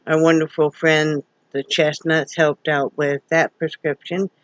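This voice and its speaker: A woman's voice: low-pitched, level tone, unhurried, no room sound. A middle-aged woman from Wyoming, wheezy with a sore throat, chatting in a folksy, rambling way with many fillers in her front room at home. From Wyoming, USA. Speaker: vlogger. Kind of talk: casual home vlog chat. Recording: dull lo-fi home recording.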